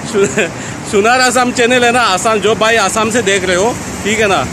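Man, 30s, high voice, chatty street vlogger, phone at arm's length, loud traffic noise. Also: steady tone, moderate pace. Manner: chatty, repeats "thik hai na", addresses viewers as friends. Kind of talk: walking city vlog. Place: busy city street at night, outdoors. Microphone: phone held at arm's length.

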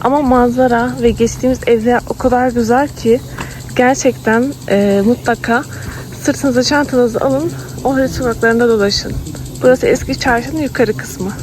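A woman's voice: on the high side, steady tone, normal speed. A woman chatting casually to viewers as a travel vlogger. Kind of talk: casual vlog chat to viewers. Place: outdoors, on town streets. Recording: noisy outdoor recording, street ambience.

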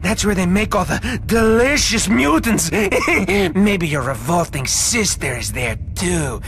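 A man voicing a childish, cowardly henchman with a shrill squeaky voice, shouting and whining in a video game. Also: big pitch swings, slow pace, creaky, slight background noise, full character voice. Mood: whiny. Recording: game music behind.

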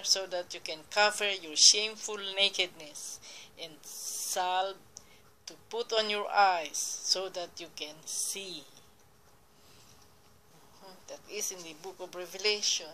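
A woman reading Bible verses aloud and explaining them in a slow, deliberate way, now and then correcting herself, recorded on a phone pointed at a computer screen. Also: mid-range voice, sharp tone, slight background noise, rough recording. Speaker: lay preacher. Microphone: phone camera filming a computer screen.

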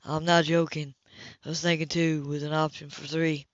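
Woman doing a determined guy in love for a romance audiobook, blunt, earnest, low voice. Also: little pitch movement, normal speed, dry recording, muffled recording, lightly acted. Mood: sincere, insistent.

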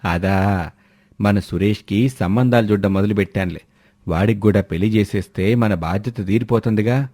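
Man acting a wry older father, mellow-voiced. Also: fairly low pitch, moderate pace, no echo, studio quality, close to an everyday voice. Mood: matter-of-fact. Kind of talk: cartoon moral-story dialogue.

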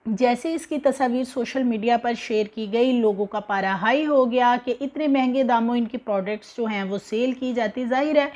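A woman's voice: fairly high pitch, normal speed, hardly any pauses, a little mellow. A young woman with a Pakistani Urdu accent giving spoken news commentary from a living room, talking conversationally with many fillers and an indignant tone. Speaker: news presenter. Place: indoor living room with TV behind.

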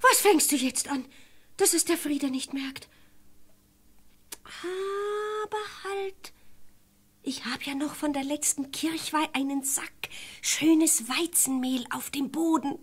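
An actress playing a naive, well-meaning and scatterbrained young peasant wife in a fairy-tale radio play, chatting artlessly. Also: female voice, sits high, slow. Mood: self-satisfied scheming. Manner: artless, chatty, talking to herself.

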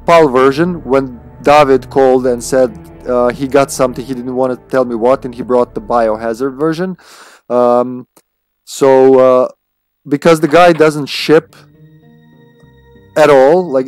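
A man's voice: medium pitch, steady tone, moderate pace, soft background music. A man, chatting casually and playfully with swearing as he streams a game. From Serbia. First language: Serbian. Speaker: video game livestreamer. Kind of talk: casual live gameplay commentary with chat.

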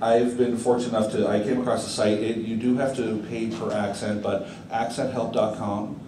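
A man's voice: fairly low pitch, little pitch movement, normal speed. Brief: male panelist, playful Q&A, distant echoey hall. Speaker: convention panelist. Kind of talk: casual panel Q&A. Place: large convention hall room. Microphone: handheld mic, far camera. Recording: echoey room from far off, background noise.

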